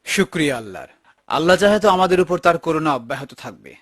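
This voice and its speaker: A man playing a pious, generous, patient garden partner in a cartoon, calm and gently admonishing. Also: a little high, fast talker, husky, no echo, soft background music, heavily acted. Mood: devout, kind. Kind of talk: dubbed cartoon dialogue.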